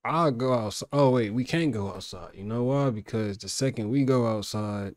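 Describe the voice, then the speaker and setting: A man's voice: medium pitch, normal speed, a little mellow, dry recording, studio quality. A man reacting casually to a video, talking to viewers in loose, profane slang.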